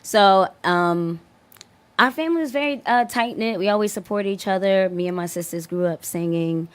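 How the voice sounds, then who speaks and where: A young woman from Newark with a slightly raspy voice, a guest singer chatting about her upbringing in a relaxed interview. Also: mid-range voice, moderate pace. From Newark, New Jersey. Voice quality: a bit raspy. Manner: laughing, storytelling, with jokes. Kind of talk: casual interview chat.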